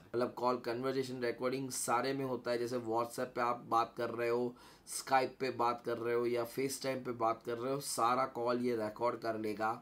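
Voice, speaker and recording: A man with an Indian accent and a mid-pitched voice presents a product in a casual reviewer style. He talks informally, slipping between Hindi and English phrases as he demonstrates and sells. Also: a bit bright. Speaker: gadget reviewer and seller.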